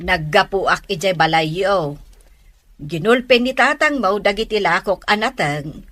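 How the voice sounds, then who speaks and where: A woman's voice: mid-range voice, lively intonation, unhurried, fairly bright tone, no room sound. A woman playing an exasperated middle-aged village vegetable seller in an Ilocano radio drama.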